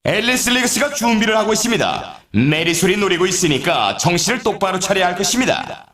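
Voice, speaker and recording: A man playing an upbeat snowboard race announcer in a video game, with a dramatic, showy commentary delivery. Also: high voice. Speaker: sports announcer. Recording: echoey, distant sound.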